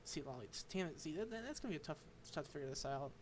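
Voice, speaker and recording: A man streaming a game, reading its dialogue aloud and commenting, halting and stumbling over unfamiliar names. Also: on the high side, lively intonation, noisy background.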